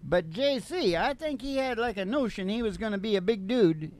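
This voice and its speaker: A man, probably middle-aged, talking as a radio show host in casual, irreverent comic banter, rambling and halting as he goes. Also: sits high, animated, a little mellow.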